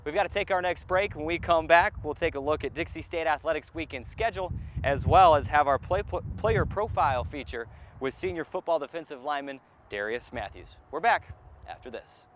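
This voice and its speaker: A man's voice: on the high side, a little fast, low-quality recording. A man hosting a sports show in a conversational broadcast style, outdoors at a stadium.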